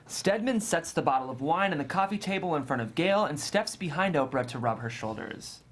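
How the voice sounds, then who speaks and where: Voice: medium pitch, animated, a little fast. A narrator reading screenplay stage directions in a matter-of-fact, descriptive way.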